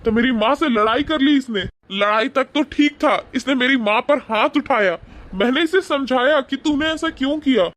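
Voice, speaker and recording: A man voicing an angry young husband in an animated moral story, rude, accusing and indignant. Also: very high-pitched, moderate pace. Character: angry young husband scolding wife and mother-in-law.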